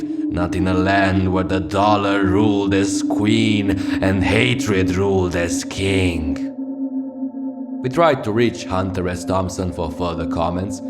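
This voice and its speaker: Casting: male actor as brooding late radio host of a surreal city, low voice, poetic and profane, loud music underneath. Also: natural voice. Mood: indignant, then resigned, wry. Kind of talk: scripted fiction radio monologue. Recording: music behind the voice.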